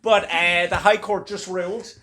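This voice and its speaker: A man's voice: sits high, fairly even intonation, moderate pace, bright, forceful tone, a little hiss or hum. A young Irish man with a stammer doing a stand-up comedy set in a club. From Ireland. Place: comedy club before a laughing crowd.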